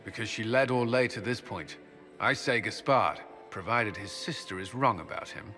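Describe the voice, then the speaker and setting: A firm, practical commander, his low voice carrying a bright, forceful edge that matches his blunt way of speaking. Faint music runs beneath the dialogue. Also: normal speed. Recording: game dialogue over music.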